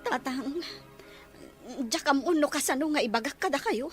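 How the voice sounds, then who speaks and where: A woman's voice: high voice, expressive, normal speed. A woman playing a grieving, shocked daughter in an Ilocano radio drama, with music behind her. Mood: hesitant, sorrowful.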